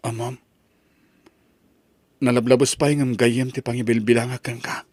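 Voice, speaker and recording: A man playing a shy, tender young college student in love, speaking softly and hesitantly in an Ilocano drama. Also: medium pitch, level tone, normal speed, dry recording, natural voice. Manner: soft, hesitant. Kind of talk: Ilocano radio-style drama acting.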